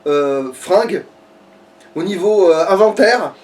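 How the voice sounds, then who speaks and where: High-pitched man chatting crudely, off the mic. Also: lively intonation, slow pace, a little mellow. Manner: crude, sweary, mock-outraged ranting. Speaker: let's player. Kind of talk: casual gaming chat. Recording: voice distant from the mic.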